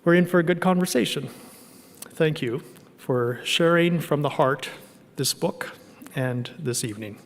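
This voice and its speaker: A man introducing an evening event and announcing its arrangements in a measured way. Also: fairly high pitch, in a large hall. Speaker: event host.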